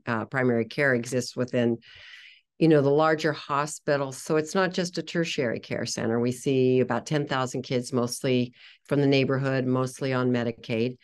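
An older woman pediatrician explaining her clinic plainly over a video call from a small office. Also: very deep voice. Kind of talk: casual panel talk on video call. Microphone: computer webcam on video call.

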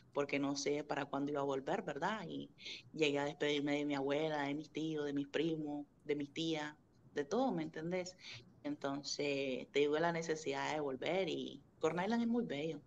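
A middle-aged woman from Nicaragua telling family stories in a casual interview over a webcam, animated and laughing often. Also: low-pitched, vocal fry, dull audio, a little hiss or hum. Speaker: guest, interviewer's sister. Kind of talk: casual video-call interview. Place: home room indoors. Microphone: video call webcam.

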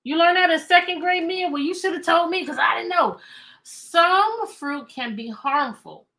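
A middle-aged American woman reading aloud to children as a teacher, animated and chatty, often asking questions. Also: very high voice, normal speed. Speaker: teacher and read-aloud host. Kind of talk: interactive children's read-aloud lesson. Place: indoor room with bookshelves.

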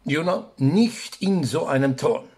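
An overprotective, fussy dad in a radio play. His voice is creaky and very raspy, with slow, animated swings. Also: a little high, full character voice. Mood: stern. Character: fussy, overprotective father of a teenage girl. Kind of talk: German audio drama acting.

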